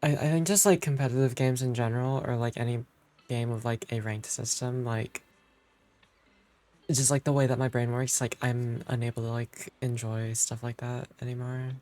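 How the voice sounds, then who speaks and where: A man's voice: mid-range voice, fairly even intonation, normal speed, warm tone. A man live streaming a game and chatting casually with viewers in a hesitant, rambling way. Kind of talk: casual chat with viewers while gaming. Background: game music.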